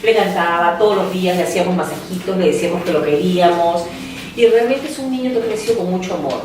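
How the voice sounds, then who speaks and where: This voice belongs to a woman, a mother on an education panel, who speaks in a heartfelt, reflective way. Her pitch sits in a middle range. She sounds distant, in a very echoey room with faint noise behind her. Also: fast talker. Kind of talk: panel discussion. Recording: roomy studio heard from a distance.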